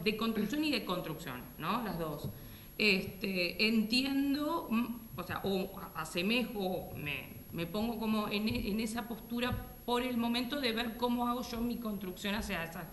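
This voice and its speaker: A panelist talks slowly and haltingly, filling pauses while thinking aloud. The sound is roomy and echoing, with faint noise underneath. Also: medium pitch, a bit bright. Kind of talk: panel discussion. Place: echoey hall. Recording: echoey hall.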